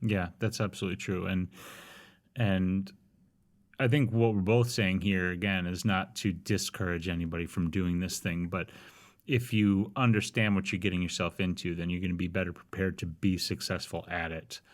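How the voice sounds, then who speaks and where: A man's voice: low-pitched, fairly even intonation, normal speed. A man in his forties in casual podcast chat, candid and wry, often restarting his sentences. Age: 40s. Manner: candid, rambling with frequent restarts, wry humor.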